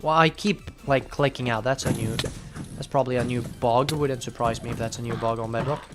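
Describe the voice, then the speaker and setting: Excitable young man streaming. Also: mid-range voice, brisk, over music. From Finland. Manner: chatty, bursts into loud excited outbursts. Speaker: game streamer. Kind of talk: casual live gameplay commentary.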